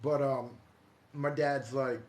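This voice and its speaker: A man in his forties with an American accent, telling personal stories casually on a live stream in a halting, repetitive, conversational way. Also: mid-range voice, little pitch movement, darkish tone. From Ohio, United States. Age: 40s. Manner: halting, repeats himself, conversational. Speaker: host of a paranormal storytelling live stream. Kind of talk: casual live storytelling to viewers. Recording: roomy echo, light background noise.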